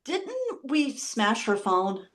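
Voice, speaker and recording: Wary, combative woman played by an actress, high-pitched, spoiling for a fight, stage comedy. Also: big pitch swings, takes it slowly. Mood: exasperated. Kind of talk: comedy script table read.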